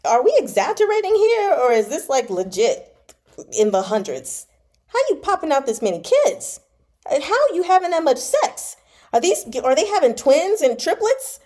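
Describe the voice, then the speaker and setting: Mellow-voiced woman reading and chatting informally. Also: high-pitched, moderate pace. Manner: informal, slangy, expressive commentary. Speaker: host of a live book read-along. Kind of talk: reading a novel aloud with casual reaction asides.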